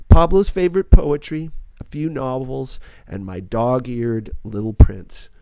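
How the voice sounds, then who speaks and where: A man's voice: mid-range voice, measured pace, warm tone, no echo. A man reading a first-person novel aloud as a scripted audiobook narration.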